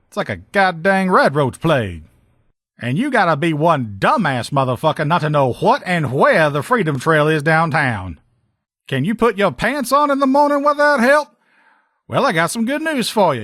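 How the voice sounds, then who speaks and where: A man's voice: fairly high pitch, fairly bright tone, no echo, clean studio sound. A man playing a brash sheriff's lieutenant and proud armor mechanic in a video game, blunt, sardonic and salty-tongued. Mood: sardonic, exasperated. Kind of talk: video game character dialogue.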